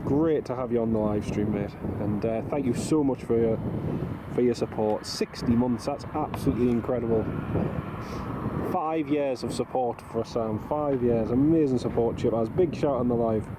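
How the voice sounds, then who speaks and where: A man's voice: medium pitch, normal speed. A man with a stuffy cold, chatting casually to livestream viewers and greeting them by name. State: bunged up from a cold. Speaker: livestream host. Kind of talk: casual live commentary to viewers. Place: outdoors at an airport. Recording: loud outdoor aircraft and traffic noise. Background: aircraft and vehicle noise.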